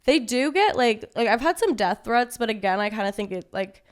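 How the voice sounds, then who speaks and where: Clear-voiced young woman, breezy and candid. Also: a little high, animated, fairly quick. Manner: casual, many fillers, laughs things off. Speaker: podcast guest. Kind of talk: candid conversational interview.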